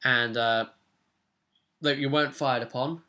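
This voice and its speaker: A man's voice: mid-range voice, fairly even intonation, unhurried, bright, forceful tone. A young man recapping a tabletop role-playing session as game master in casual chat. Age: young adult. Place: small room at home, indoors.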